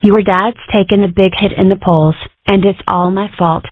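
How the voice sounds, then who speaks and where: A woman's voice: mid-range voice, steady tone, normal speed, no room sound, close to an everyday voice. A woman voicing an earnest, fretful young fox girl in a comic dub. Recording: tinny, phone-like, dry.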